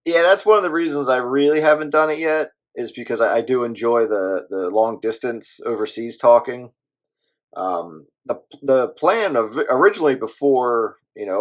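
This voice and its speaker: A man chatting casually as a hobby radio operator, rambling along in an easygoing way. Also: medium pitch.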